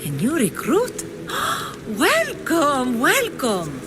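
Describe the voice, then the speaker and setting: A woman's voice: high voice, wildly swooping pitch, extremely slow, noisy background, exaggerated voice. A woman playing a zealous, gushing member of a secret cult in a video game, theatrical in manner. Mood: welcoming, fervent.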